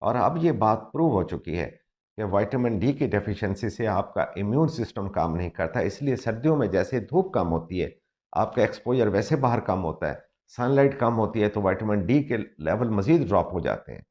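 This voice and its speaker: A man's voice: fairly low pitch, expressive, moderate pace, darkish tone. A male doctor with a slightly sore throat gives earnest, explanatory health advice, dropping into English for emphasis. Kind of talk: health advice talk to camera. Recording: muffled lo-fi recording.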